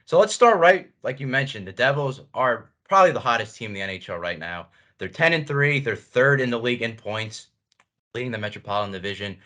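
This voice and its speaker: A man's voice: medium pitch, animated, fairly quick, sharp tone. A man hosting a sports show, asking questions in a conversational interview style.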